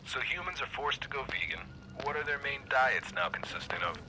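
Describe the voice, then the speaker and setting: An actor playing a radio interviewer who is dry, skeptical and sardonic, in a satirical interview. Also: male voice, mid-range voice, expressive, brisk, bright, forceful tone, a little hiss or hum, rough recording. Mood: dry disbelief. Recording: music behind the voice.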